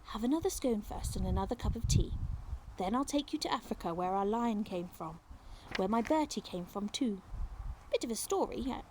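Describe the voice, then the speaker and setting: A woman's voice: mid-range voice, lively intonation, moderate pace, noisy background, lightly acted. A woman voicing a chatty, kindly and wistful old English lady in clipped, homely phrases for a children's storybook. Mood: warm, reassuring.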